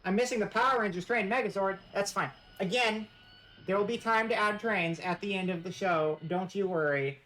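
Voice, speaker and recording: A woman's voice: mid-range voice, normal speed, fairly bright tone, rough recording. A woman streamer giving a casual live-stream presentation, rambling and joking in a playfully mock-serious way.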